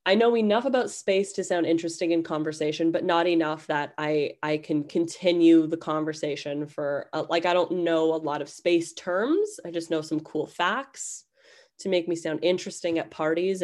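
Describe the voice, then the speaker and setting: Low-voiced woman, nasal from a cold. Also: normal speed, darkish tone. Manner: chatty, self-deprecating, rambling. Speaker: podcast host. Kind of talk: casual solo podcast intro.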